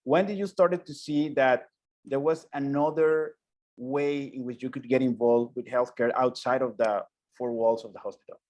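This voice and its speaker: Earnest man asking halting questions. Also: mid-range voice, steady tone, darkish tone. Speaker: interview host. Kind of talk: interview questions.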